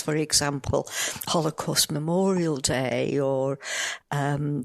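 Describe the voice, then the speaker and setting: Woman guest, low dry voice. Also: vocal fry, dry recording. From North Wales. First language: Welsh. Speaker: guest poet. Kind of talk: radio interview. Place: radio studio.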